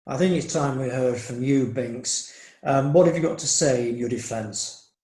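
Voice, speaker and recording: A man playing a stern, formal magistrate in a courtroom play, measured and authoritative. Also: mid-range voice, darkish tone, reverberant, natural voice. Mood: grave, disapproving. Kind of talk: courtroom stage play acting.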